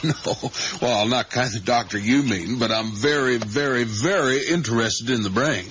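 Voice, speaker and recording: A man's voice: medium pitch, normal speed, fairly bright tone, close to an everyday voice. An actor in a 1980s radio drama playing a curious, easygoing, educated father with a genial, polite manner. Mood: interested, friendly. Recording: muffled, noisy 1980s radio drama recording.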